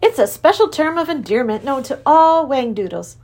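A woman voicing an exuberant, lovesick male creature king with antlers, who lisps and gushes in a high voice. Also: normal speed. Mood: gushing. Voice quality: lisping. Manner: high, gushing, excitable. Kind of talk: storybook reading.

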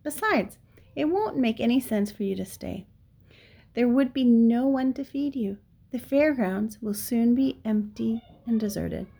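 A wise, weary storybook spider, as a woman reads her, female and motherly. She reasons firmly and chides in a fairly high, unhurried voice. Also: lively intonation, creaky, mellow, husky, heavily acted. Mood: firm reasoning. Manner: firm, chiding, reasoning.

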